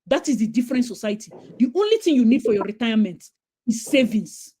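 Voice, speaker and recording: A woman's voice: on the high side, fast. A Nigerian woman with a Nigerian accent, chatting animatedly and slipping into pidgin as she tells stories. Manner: animated storyteller, slips into pidgin. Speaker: host. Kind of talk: casual chat.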